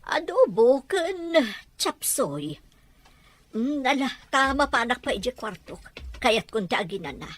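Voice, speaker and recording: A woman's voice: high voice, very animated, unhurried, heavily acted. A woman with a Filipino accent acting plaintive, put-upon family women in an audio drama. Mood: weary, plaintive.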